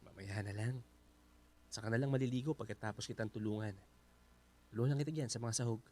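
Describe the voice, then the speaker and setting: A man's voice: mid-range voice, quick pace, darkish tone, slight background noise, natural voice. A man acting a helpful, modest, easygoing houseguest in a Filipino radio drama. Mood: soft, helpful.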